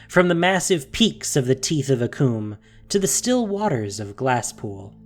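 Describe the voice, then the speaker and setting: A narrator reading a scripted fantasy story in a measured, dramatic way. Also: medium pitch, darkish tone.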